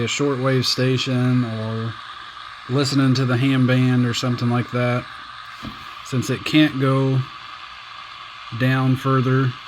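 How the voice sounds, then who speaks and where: A man giving a casual hands-on review as a radio hobbyist, plainspoken and folksy with halting phrasing, recorded indoors. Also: medium pitch, noisy background.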